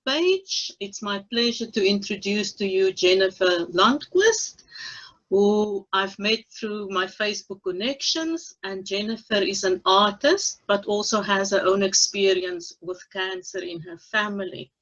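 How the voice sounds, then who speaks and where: A woman hosting a video-call interview as a recovery coach, speaking in a measured, gently encouraging way. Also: mid-range voice. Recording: slightly dull call audio.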